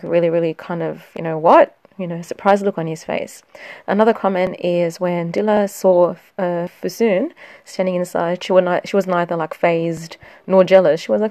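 A woman's voice: fairly low pitch, a little mellow, no room sound. A woman leaving a casual, enthusiastic voice note with her comments on a TV series as a listener. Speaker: podcast listener sending a voice note.

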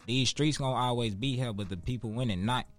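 A man's voice: mid-range voice, a little fast, dry recording, faint music behind. A man in his twenties with a Chicago accent, talking casually as an interview guest in street slang, with profanity and emphatic repetition. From Chicago, United States. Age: 20s.

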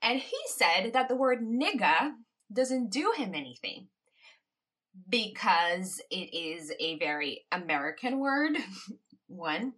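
Woman from the Caribbean, solo podcast host, slow unhurried delivery, bright voice, reflective with light laughs. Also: medium pitch, big pitch swings. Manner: reflective, laughs between points. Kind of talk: casual solo podcast monologue.

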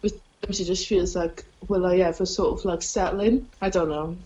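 A woman's voice: lowish voice, fairly even intonation, fairly quick, warm tone, dull audio. A young woman with a Welsh accent, a stand-up comedian chatting in an interview, rambling and self-deprecating with lots of fillers. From South Wales. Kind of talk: casual interview chat.

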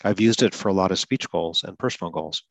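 A man with a very low voice talks through a goal-setting exercise in an earnest, coaching way. Now and then he repeats a word or restarts. The sound is dry and close, with no room around it. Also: fast talker, vocal fry, no room sound, rough recording. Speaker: podcast host and stuttering advocate. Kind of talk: solo podcast explanation.

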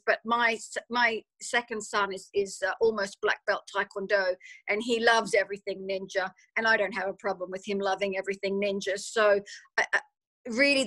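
Australian woman, author guest, chatty and rambling, mid pitch. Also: pushed and bright, rough recording. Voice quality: chatty, repeats words often, many fillers. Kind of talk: casual interview answers.

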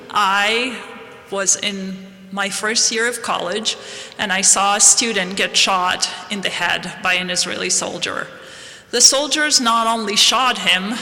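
A woman, from Palestine, giving a slow, measured, earnest devotional address to a congregation. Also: medium pitch, pushed and bright. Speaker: guest speaker at a church devotional. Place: large historic hall. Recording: long reverb of a large hall.

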